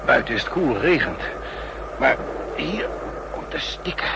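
An actor in a 1960s Dutch radio play, playing a weary, stifled train passenger who wheezes and gasps out short phrases. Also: male voice, medium pitch, animated, unhurried. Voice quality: wheezy, breathy. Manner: short clipped phrases, gasping. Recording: very noisy old radio train scene.

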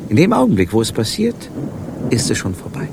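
An actor playing a fervent, morbidly romantic gentleman in a theatrical radio crime drama. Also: medium pitch, huge pitch swings, brisk, creaky, heavy background noise. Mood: morbid rapture. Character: lovesick, morbidly romantic gentleman of 1917 Paris.

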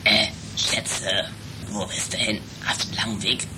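Woman doing a very low, whispery male tramp. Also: flat delivery, moderate pace. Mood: furtive, chummy. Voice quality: hoarse, whispery. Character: shady, jittery male tramp and jailbreaker. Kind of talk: improvised amateur audio drama. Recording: noisy home-made recording.

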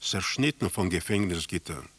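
A man playing a detached narrator in a literary radio drama, describing bleak, surreal scenes deliberately in a gravelly voice. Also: low voice, expressive, a bit bright, exaggerated voice. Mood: ominous, detached. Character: male narrator of a bleak, surreal travelling salesman tale.

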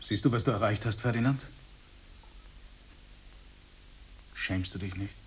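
A man's voice: on the low side, quick pace, slight background noise, lightly acted. Guy playing pushy self-righteous husband hosting a friend, 70s radio drama, smug and insistent. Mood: quiet reproach. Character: smug, insistent married host, pressuring his guest. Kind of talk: 1970s German radio play acting.

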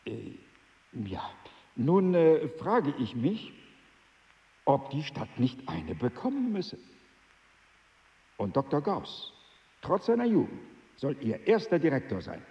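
An actor playing a condescending duke, halting and hemming, in a radio drama. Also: male voice, fairly high pitch, wildly swooping pitch, exaggerated voice. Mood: haughty, musing. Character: hesitant, condescending male duke, a royal patron. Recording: dull echoey hall, music behind.

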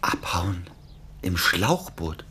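An actor playing a naive, eager new bookkeeper in a radio play, chiming in with simple remarks. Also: male voice, deep voice, big pitch swings, very slow, bright, forceful tone, husky, full character voice. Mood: astonished. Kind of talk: German radio drama dialogue.

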